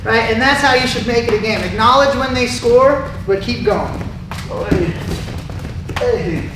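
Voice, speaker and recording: Muay Thai coach in an echoey gym. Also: male voice, very high voice, unhurried. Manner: relaxed, explanatory, shouts cues while sparring. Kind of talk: live online Q&A, coaching explanation. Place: martial arts gym. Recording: echoey gym heard from far off with noise.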